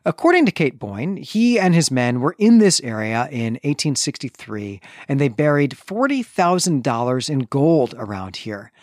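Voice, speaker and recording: A man's voice: medium pitch, lively intonation, moderate pace, few pauses, darkish tone, no room sound, clean studio sound. A man co-hosting a podcast, recapping and discussing a book in a casual, conversational way with hedging asides. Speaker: podcast co-host.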